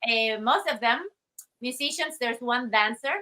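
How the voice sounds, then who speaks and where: Bright-voiced Costa Rican woman, thoughtful. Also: on the high side, normal speed. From Costa Rica. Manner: thoughtful, hesitant with many fillers and restarts. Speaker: guest artist. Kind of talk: interview conversation.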